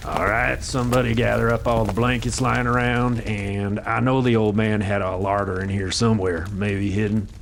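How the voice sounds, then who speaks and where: An actor playing a gruff, practical frontier guide who talks bluntly and folksily. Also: male voice, lowish voice, level tone, normal speed, hardly any pauses, slight background noise.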